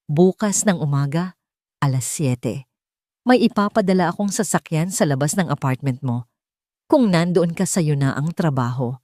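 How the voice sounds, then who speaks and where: A woman's voice: sits low, expressive, normal speed, no room sound, studio quality. A woman voicing a cold, commanding billionaire father in an audiobook, speaking curtly and directly. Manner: direct, quietly threatening, no shouting.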